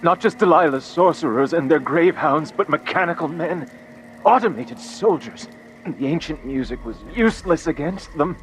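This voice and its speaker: A man playing a dying religious soldier in a video game, weak and labored, halting and grim. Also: a little high, animated, slight background noise, natural voice, low-quality recording. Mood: despairing, weak. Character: dying zealous male religious soldier, bitter and despairing. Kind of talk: dramatic game cutscene acting.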